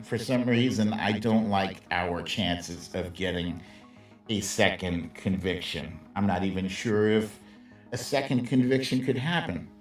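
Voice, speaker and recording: Sardonic man, slow-paced, in an echoey room. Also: on the low side. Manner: sardonic, conversational, salty slang. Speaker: host of a live political commentary stream. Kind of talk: casual opinionated commentary with asides to viewers. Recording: roomy echo, distant mic, music behind.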